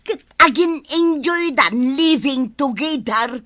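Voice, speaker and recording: A woman's voice: high-pitched, slow pace, pushed and bright, no echo, exaggerated voice. An actress playing a meddling, suspicious older village woman with an Ilocano accent, gossiping insinuatingly in a comedy radio drama. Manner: insinuating, nosy, exclamatory.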